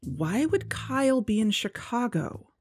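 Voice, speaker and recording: A woman's voice: fairly high pitch, sing-song, measured pace, darkish tone, faint music behind. A woman playing an eager, determined amateur investigator in an audio drama, with a persuasive, urgent manner. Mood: puzzled, suspicious. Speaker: investigator and podcast co-host.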